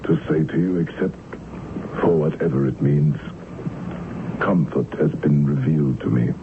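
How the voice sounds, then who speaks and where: An actor playing a tormented, guilt-ridden sea captain in a radio drama, solemn, weary and melodramatic. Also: male voice, a bass voice, measured pace. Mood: resigned despair. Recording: muffled, noisy old radio broadcast.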